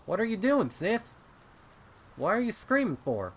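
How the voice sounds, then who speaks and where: A man's voice: high voice, very animated, measured pace, breathy edge, voice pushed up, exaggerated voice. A man voicing a small, confused and anxious filly, a little sister, in a bewildered way for a story reading.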